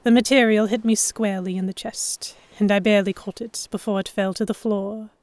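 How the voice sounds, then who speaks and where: Female first-person narrator, audiobook style: medium pitch, expressive storytelling, dry studio-like sound. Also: fairly quick, no room sound. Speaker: narrator and lead heroine.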